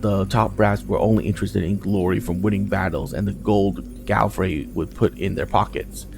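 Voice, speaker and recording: Blunt, talkative game soldier, read by a man, low and gravelly, music underneath. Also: steady tone, moderate pace. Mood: blunt gossip. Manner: colloquial, blunt. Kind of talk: game dialogue read aloud.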